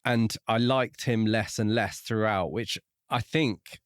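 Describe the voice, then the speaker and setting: A man's voice: fairly low pitch, slow pace, dry recording, studio quality. A man hosting a podcast, casually talking over a book and thinking aloud as he offers hedged opinions. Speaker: podcast host. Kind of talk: casual book review discussion.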